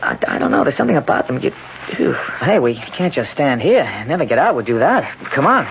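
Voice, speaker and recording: An actor playing a nervous but gallant lost young man, slangy and halting, in 1970s radio drama style. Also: mid-range voice, very animated, creaky. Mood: uneasy. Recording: dull, noisy old radio broadcast recording.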